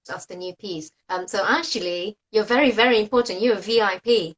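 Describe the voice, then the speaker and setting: A Japanese woman in her mid-thirties hosts a relaxed online chat over a video call. She talks in English in a friendly, upbeat way, laughing easily and quick to praise her guest. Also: medium pitch, poor audio. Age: 30s. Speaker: host, oboist. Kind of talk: casual online interview.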